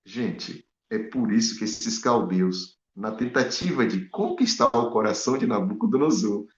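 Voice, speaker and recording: A man preaching a Bible study sermon, animated and full of rhetorical questions to his listeners. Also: on the low side, measured pace. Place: in Spain. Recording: echoey, dull lo-fi room sound.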